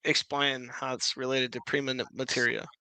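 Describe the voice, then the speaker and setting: A man's voice: mid-range voice, flat delivery, moderate pace, bright, forceful tone, low-quality recording. A man speaking as a guest in a casual online discussion, insistent and repeating himself to make his point. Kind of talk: casual livestream debate.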